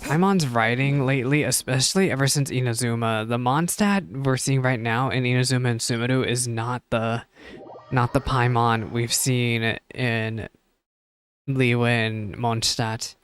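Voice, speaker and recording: A man's voice: mid-range voice, fairly even intonation, a little slow, clean tone. A man giving casual live reaction commentary as a game streamer, muttering asides and talking back to the characters.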